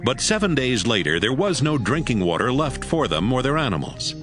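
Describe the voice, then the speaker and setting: A man's voice: medium pitch, sing-song, brisk, music in the background. A man giving scripted narration for a dramatized audio Bible.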